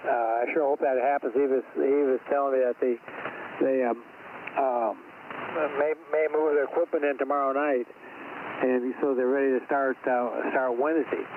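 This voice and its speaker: A man chatting casually as an amateur radio operator over a two-way radio, rambling and halting as he repeats his words. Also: mid-range voice, fairly even intonation. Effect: two-way radio transmission. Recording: noisy, phone-like ham radio signal.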